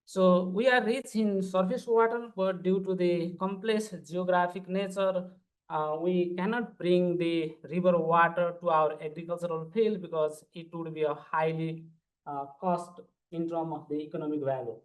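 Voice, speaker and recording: A man's voice: high voice, steady tone, moderate pace, low-quality recording. A man, giving an earnest, formal conference panel presentation as a PhD student. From Nepal. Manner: earnest, formal, walks through slides step by step.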